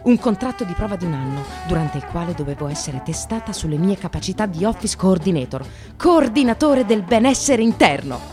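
A woman's voice: fairly low pitch, sing-song, brisk. An actress playing an ironic, anxious woman in precarious work, confiding her life in a wry, theatrical radio monologue. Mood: excited, proud. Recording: radio play with music behind.

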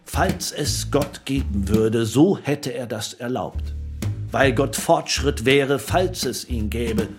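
A man plays a smug communist neighbour in a radio play, mid-pitched and lecturing. He sounds pompous and sure of himself, with guitar music behind him. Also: slow pace, natural voice. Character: know-it-all communist male neighbour, smug atheist. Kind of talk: German radio play acting.

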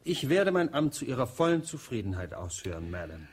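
An actor playing a courteous, dutiful butler in a German radio drama, speaking formally and deferentially. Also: male voice, medium pitch, sing-song, fairly quick, husky.

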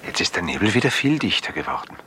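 An actor playing a reserved, weary aristocratic baron, terse and guarded, in a radio drama. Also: male voice, fairly low pitch, big pitch swings, fast, bright, forceful tone, slight background noise. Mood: guarded, tense.

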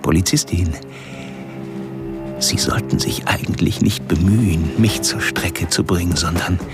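A man's voice: low voice, measured pace. An actor playing a mocking, articulate serial killer who addresses a policewoman formally and theatrically in a radio play. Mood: sardonic, menacing. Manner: formal, sardonic, theatrical, punctuated by whispery drops. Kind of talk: radio play monologue as a recorded message. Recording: music under the voice.

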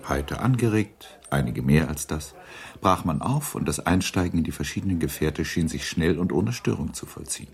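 Narrator for literary radio drama: male, very low, gravelly, measured, with dry irony.

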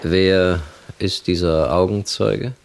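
Bossy careful police chief in radio crime play, low voice, brusque, talks down to people. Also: male voice, steady tone, slow, creaky, a little hiss or hum, exaggerated voice. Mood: stern, dismissive. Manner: brusque, patronising. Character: cautious, authoritative male police chief. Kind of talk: German radio crime drama acting.

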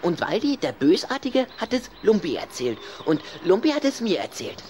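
A woman's voice: mid-range voice, sing-song, moderate pace, darkish tone, slight background noise, lightly acted. A woman playing a proud, touchy, lovesick young boy vampire in a theatrical way for a children's radio play.

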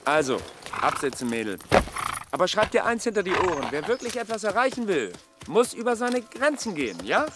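An actor playing a hearty, commanding stable owner with a genial, officer-like manner in a German radio drama. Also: male voice, high voice, sing-song, moderate pace. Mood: brisk, charming. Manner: barking orders, hearty and genial. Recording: faint background noise.

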